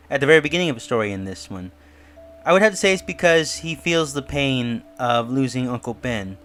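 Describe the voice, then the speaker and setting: A man's voice: mid-range voice, fairly bright tone. Musing man reviewer over music. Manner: musing, speculative. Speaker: YouTube reviewer. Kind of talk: casual review and theory commentary.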